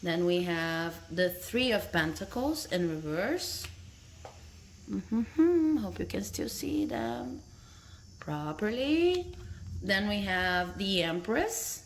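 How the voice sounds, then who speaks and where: A woman's voice: lowish voice, big pitch swings, slow, a little hiss or hum. A woman from Brazil with a Brazilian Portuguese accent, a tarot reader talking casually to her viewers and often asking "okay?", recorded on a phone indoors. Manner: friendly, tags sentences with "okay?", laughs between phrases. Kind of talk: casual talk to camera.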